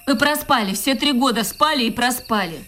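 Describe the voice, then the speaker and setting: An actress in a Russian radio drama playing a proud, teasing village farm manager with a wistful streak. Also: female voice, a little high, fairly quick, a bit bright, slight background noise, close to an everyday voice. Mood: bitter, wistful.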